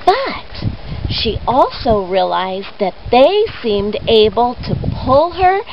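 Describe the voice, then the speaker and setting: A woman telling a children's story expressively, leaning on key words with deliberate, dramatic emphasis. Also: fairly high pitch, very animated. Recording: dull lo-fi audio with clear background noise.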